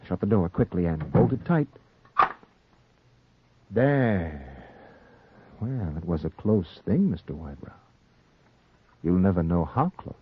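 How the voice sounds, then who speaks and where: Sinister noir narrator: low, swooping, ominous delivery, taunting his victim on a tinny old radio recording. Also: noisy background. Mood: menacing, urgent. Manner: theatrical, ominous, insistent second-person address. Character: sinister, taunting male narrator addressing a doomed man. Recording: muffled, tinny 1940s radio recording, music behind.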